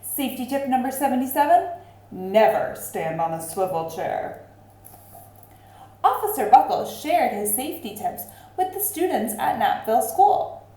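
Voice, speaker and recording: A woman reading aloud expressively to children like a teacher, deliberate and addressing them directly. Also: on the high side, big pitch swings, warm tone. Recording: roomy, echoing sound.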